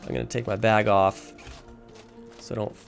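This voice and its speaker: A man's voice: lowish voice, little pitch movement, normal speed, vocal fry. A man casually talking through a video game as its guide, explaining his choices and thinking aloud as he plays. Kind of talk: casual walkthrough commentary while playing. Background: music.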